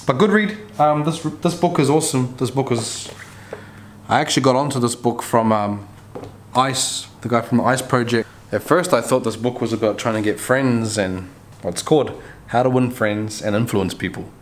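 A man's voice: medium pitch, moderate pace. A man chats casually to camera, laid-back and slangy, often repeating his phrases. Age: 30s. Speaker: barber vlogger. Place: small bare barbershop room. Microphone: camera mic at arm's length or nearby. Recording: clear background noise, room ambience.

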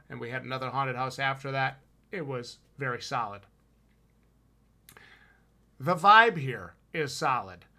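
A man's voice: mid-range voice, sharp tone. A man in his thirties with a Wisconsin accent, co-hosting a haunted house review podcast in an enthusiastic, emphatic, slangy way from a home room over a headset mic. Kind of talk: casual review chat. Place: home room with shelves, indoors. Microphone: headset with boom mic on video call.

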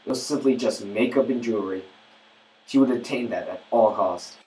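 A man's voice: fairly low pitch, moderate pace, a little mellow, close to an everyday voice. A man acting as a haunted, poor young father, narrating his bitter confession as a dramatic monologue. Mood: resentful. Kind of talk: first-person dramatic monologue narration with music.

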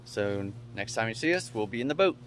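A man's voice: lowish voice, expressive, a little fast. A young man vlogging casually to the camera about a fishing trip, talking easily to his viewers from a car and then a boat. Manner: easygoing, laid-back, talks to viewers. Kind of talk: casual vlog chat to camera. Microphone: phone held at arm's length. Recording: noisy outdoor phone recording.

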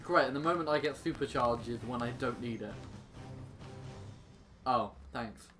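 A man's voice: mid-range voice, moderate pace, faint music behind. A man doing casual gameplay commentary, sarcastic and exclaiming with mild swearing. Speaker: gaming YouTuber.

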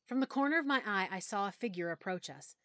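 A woman narrating a full-cast audiobook in first person, with expressive, clear storytelling. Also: medium pitch, fast, dry recording, studio quality. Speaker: narrator and lead character.

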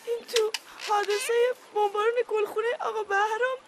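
A woman voicing a tearful young girl who speaks slowly through sobs. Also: very high voice, steady tone, heavy background noise. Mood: weepy. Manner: sobbing, slow and whimpering.

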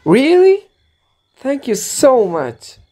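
A man's voice: extremely high pitch, huge pitch swings, very slow, dark tone, full character voice. A man playing a poor, humble wandering rat trap seller in an amateur radio drama, speaking earnestly and politely. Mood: grateful.

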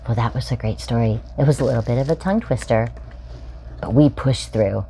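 A woman in her forties reading a children's picture book aloud, expressively and with deliberate phrasing for young children. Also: extremely low pitch, vocal fry, mellow, slight background noise. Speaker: storytime host reading aloud. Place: small furnished room indoors.

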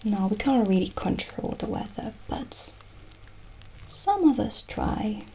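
A middle-aged woman playing a gentle, chatty witch shopkeeper in a soft-spoken, often whispered ASMR roleplay, speaking in a hushed, unhurried way. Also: mid-range voice, very animated, creaky, slight background noise, heavily acted, poor audio. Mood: hushed, welcoming. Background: original music.

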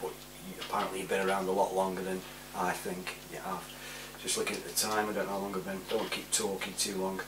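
A man's voice: deep voice, flat delivery, fast, few pauses. A man in his forties talking casually to camera, rambling in a matey, informal way. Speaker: amateur video presenter. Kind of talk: casual chatty shout-outs to camera. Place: small living room, indoors. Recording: roomy, distant mic with hum.

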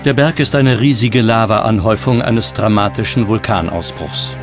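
A man's voice: on the low side, normal speed. Man narrating a documentary over music.

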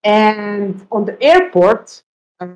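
A woman's voice: mid-range voice, animated, slow, a little mellow, dull audio. A middle-aged woman from Serbia with a Serbian accent, telling stories conversationally in non-native English as an interview guest. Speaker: interview guest, travel writer. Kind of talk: conversational storytelling. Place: indoor room, on a video call.

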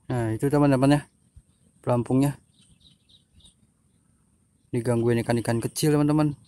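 A young man talks in an informal, chatty vlog style. His voice sits at a middle pitch. He speaks to his viewers as friends, recorded on a handheld phone in the open air. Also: a little mellow. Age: young adult. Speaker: fishing vlogger. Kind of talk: casual chat to camera. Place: outdoors by a pond and fields.

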